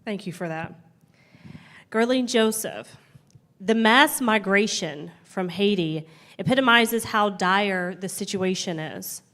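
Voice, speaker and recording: A woman's voice: medium pitch, slow pace, a bit bright. Woman moderator reading a question. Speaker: panel moderator.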